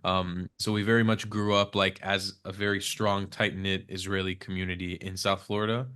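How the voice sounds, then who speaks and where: Young man, Hebrew first language, lowish voice, loose rambling guest chatter. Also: little pitch movement, normal speed, bright, forceful tone, clear, resonant tone. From United States. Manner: rambling, many fillers and restarts. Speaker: podcast guest. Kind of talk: casual conversational interview. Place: Israel.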